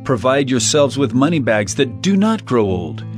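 An actor playing an earnest male teacher of parables in a dramatized audio Bible, speaking in an emphatic, measured, declamatory way. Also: medium pitch, very animated, music in the background, close to an everyday voice. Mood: reassuring, earnest.

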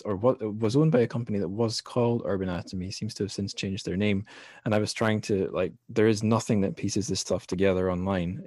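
Low-voiced man hosting a podcast. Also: a little mellow, dry recording, clean studio sound. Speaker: podcast host. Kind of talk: casual literary podcast chat.

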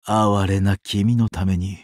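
An actor playing a sadistic, weeping angel of doomsday in a mobile game, exaggeratedly polite and dramatic, swinging between sorrow and glee. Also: male voice, lowish voice, level tone, slow, a little mellow, no echo, clean studio sound. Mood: solemn, courteous. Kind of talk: Japanese mobile game character acting.

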